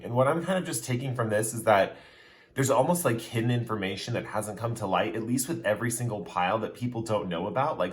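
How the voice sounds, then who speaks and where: A man giving a casual pick-a-card tarot reading, talking straight to the viewer in a loose, rambling way that restates its points. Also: lowish voice. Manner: chatty, speaks straight to the viewer. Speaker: tarot reader.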